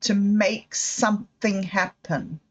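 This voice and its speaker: Slow, halting woman on a livestream. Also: medium pitch, dull audio. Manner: halting, reflective, with many restarts and apologies. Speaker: livestream host. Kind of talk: rambling personal livestream talk.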